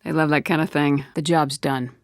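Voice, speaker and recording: A woman's voice: low-pitched, steady tone, moderate pace, no room sound, studio quality. A woman acting as a tough, confident space-navy commander in a video game, with a firm, controlled voice and a blunt, curt, assertive delivery. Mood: firm, resolute. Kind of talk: game dialogue, isolated voice lines.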